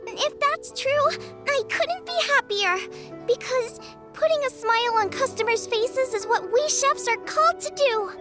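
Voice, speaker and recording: A bubbly teenage girl chef from a video game. Her voice is extremely high and bounces around freely in pitch. She comes across as eager and earnest, with music playing behind her. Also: expressive, a little slow. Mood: cheerful, earnest. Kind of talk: video game cutscene acting.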